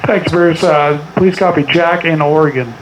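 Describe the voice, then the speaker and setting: A man's voice: a little high, steady tone, normal speed. A man in his forties making clipped amateur radio exchanges, spelling out callsigns in a small room. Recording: dull, noisy room pickup.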